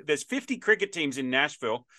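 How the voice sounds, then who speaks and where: A man's voice: on the high side, very animated, a little fast, bright, forceful tone. A man in his fifties hosting a podcast interview, chatting in a rambling, storytelling way with frequent restarts. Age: 50s. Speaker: podcast host. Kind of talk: casual interview chat.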